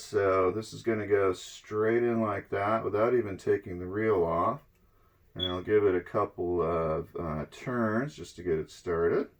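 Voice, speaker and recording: A middle-aged man giving a casual, unhurried hands-on demonstration, explaining each step as he goes. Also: lowish voice. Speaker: presenter of electronics demo videos. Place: indoors at a draped table.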